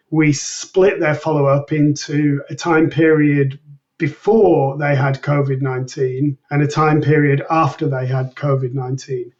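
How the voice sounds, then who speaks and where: Higher-pitched man, distant and dull-sounding. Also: darkish tone. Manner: measured, precise, methodical explaining. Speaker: researcher being interviewed. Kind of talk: explanatory interview answers.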